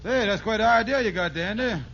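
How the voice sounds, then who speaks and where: A man's voice: high voice, quick pace, fairly bright tone. An actor playing a scheming, pompous conman who uses big words and mangled grammar in an old radio sitcom. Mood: bossy, sly. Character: scheming, fast-talking male conman, pompous fake fitness instructor. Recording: muffled, noisy old radio broadcast.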